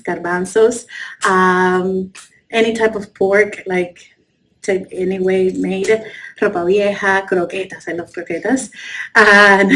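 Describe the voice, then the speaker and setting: A woman teacher born in Cuba whose first language is Spanish, answering interview questions in an animated, laughing way. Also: medium pitch, slight background noise, rough recording. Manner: animated, laughing, lists things eagerly.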